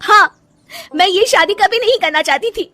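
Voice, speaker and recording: A frustrated young wife in a cartoon family drama, acted by a woman. Her voice is extremely high and plaintive, with a curt edge, over faint music. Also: moderate pace, bright, forceful tone. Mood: tearful, distraught. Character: unhappy young daughter-in-law, frustrated would-be painter. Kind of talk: Hindi cartoon moral story acting.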